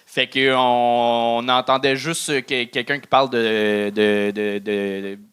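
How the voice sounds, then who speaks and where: A man's voice: medium pitch, fairly even intonation, takes it slowly, a bit bright, clear, resonant tone. A man with a Québécois accent hosting, giving candid, stammering feedback to a comedian. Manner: candid, fumbling critique with stammered repeats. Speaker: show host and judge. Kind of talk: casual feedback.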